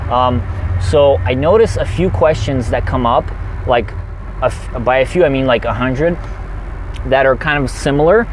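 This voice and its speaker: A man's voice: mid-range voice, poor audio. Man, pumped-up livestream chat, trucker, faint engine hum behind. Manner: energetic, chatty, fast-talking. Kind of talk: casual livestream chat reading viewer comments.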